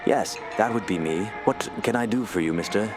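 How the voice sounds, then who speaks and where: A man's voice: lowish voice, moderate pace, over music, close to an everyday voice. A man playing a courteous, scholarly archaeology professor with formal, intrigued speech in a period radio drama.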